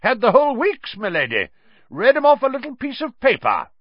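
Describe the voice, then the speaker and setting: A man's voice: extremely high pitch, huge pitch swings, fairly quick, breathy edge, dry recording, dull audio, voice pushed up, full character voice. A man voicing a proud, bumbling knight in a talking portrait for an audiobook, hearty and boastful with archaic gallantry. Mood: proud, cheerful.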